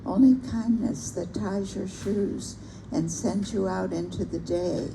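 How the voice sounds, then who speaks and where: An older woman with a soft, gentle voice, reading a favorite poem aloud at a bookstore event and talking about it reflectively. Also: medium pitch, takes it slowly, creaky. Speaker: poetry reader at a public reading. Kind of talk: reciting a poem with personal remarks. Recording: noisy live event recording.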